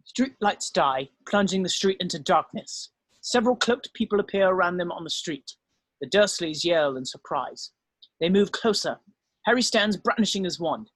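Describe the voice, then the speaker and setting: A man's voice: high-pitched, expressive, moderate pace, a bit bright, dry recording. A man narrating scripted stage directions at an amateur drama table read.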